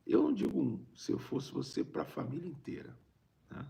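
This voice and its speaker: A Brazilian man with a raspy, gravelly voice, a preacher talking conversationally on a live stream and repeating phrases for emphasis. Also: medium pitch, big pitch swings, normal speed, dark tone, muffled recording. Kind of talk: informal livestream preaching, reading viewer greetings.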